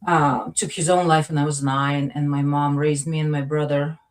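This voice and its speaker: Woman, from Latvia, low pitch, modest, searching for words, casual conversation. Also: level tone, normal speed. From Latvia, then part of the Soviet Union. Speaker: interview guest.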